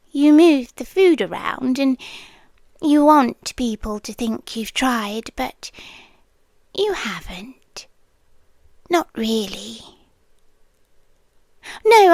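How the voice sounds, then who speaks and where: A softly insistent, secretive British night nurse played by a woman for an intimate ASMR roleplay. The voice is high and hushed, often slipping into whispers. Delivery is very unhurried and possessively doting, captured close and dry. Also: no echo, full character voice. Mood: gently worried. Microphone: close microphone in a dry room.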